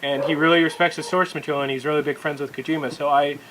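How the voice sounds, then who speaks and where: Male podcast host, bright and rapid-fire, casual conversational tone. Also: on the high side, fairly even intonation, slight background noise, rough recording. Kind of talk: casual group film discussion.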